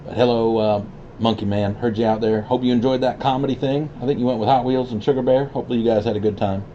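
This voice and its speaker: A dull CB radio transmission with clear static carries a man's voice. He talks quickly and casually, like a hobbyist chatting on the channel. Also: mid-range voice, steady tone, dark tone. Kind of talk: casual chat over CB radio.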